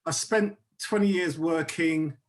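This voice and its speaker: A man's voice: fairly high pitch, measured pace. A man in his sixties, a psychotherapist on a panel, speaking over a video call and often repeating words as he starts a thought. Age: 60s.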